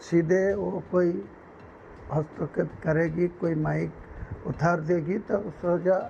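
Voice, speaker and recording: A man with a Bengali accent giving a spontaneous press statement in halting, broken phrases, recorded as dull location sound. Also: on the high side, steady tone, creaky, mellow, slight background noise.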